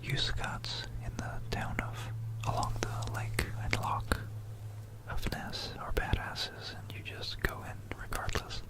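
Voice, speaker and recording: An ASMR host whispering a rambling, informal history narration in a breathy, unvoiced voice, halting and musing, close to a binaural microphone. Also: poor audio. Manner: halting, musing, repeats phrases.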